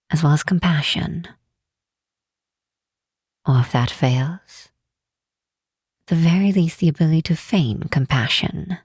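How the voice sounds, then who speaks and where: A woman voicing a regal, doting demon queen mother in an ASMR roleplay, with soft, measured, formal speech. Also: a bass voice, no echo, studio quality, exaggerated voice. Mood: solemn, instructive. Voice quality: soft, breathy. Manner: measured, formal, tender, unhurried.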